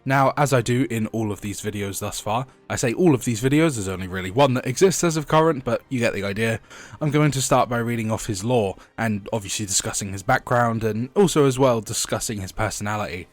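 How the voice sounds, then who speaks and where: A young man narrates a video essay with a stuffy, sniffly head-cold sound. He reads from a script in a mid-range voice and breaks off for casual asides. Soft music plays quietly behind him. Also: mid-range voice, brisk. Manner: chatty asides while reading lore.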